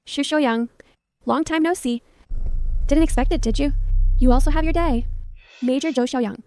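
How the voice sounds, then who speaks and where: A woman's voice: high-pitched, moderate pace, a little mellow, natural voice. A woman playing a smug, accusing military officer in an English anime dub. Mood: gloating.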